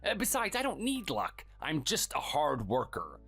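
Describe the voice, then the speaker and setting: Someone voicing a cold, scornful husband. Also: male voice, fairly high pitch, huge pitch swings, moderate pace, creaky, a bit bright, husky, full character voice. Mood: contemptuous. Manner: curt, scornful, dismissive. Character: cold, contemptuous workaholic husband.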